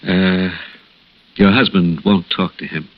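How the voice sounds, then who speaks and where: An American actor playing a grave hospital doctor in 1960s radio drama, speaking in a measured, hesitant, solemn way. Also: male voice, sits low, steady tone. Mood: grave, explaining. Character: grave, hesitant male hospital doctor breaking bad news gently. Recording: dull lo-fi vintage radio recording.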